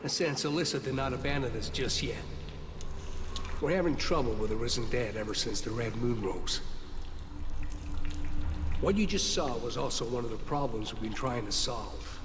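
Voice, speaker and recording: An actor playing an earnest, courteous soldier in a fantasy video game, speaking formally. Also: male voice, mid-range voice, background noise, close to an everyday voice. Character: earnest, grateful male soldier of a fantasy guard. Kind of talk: video game cutscene acting. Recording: voice over game music.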